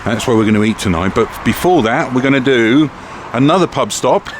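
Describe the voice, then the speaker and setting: A man's voice: mid-range voice, fairly quick. A man in his forties with an English accent, chatting casually with his wife as they walk through town for a vlog. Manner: laid-back, chuckling, lively intonation. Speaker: vlogger co-host. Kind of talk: casual chat on a night out. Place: outdoors on a town street. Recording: street noise behind.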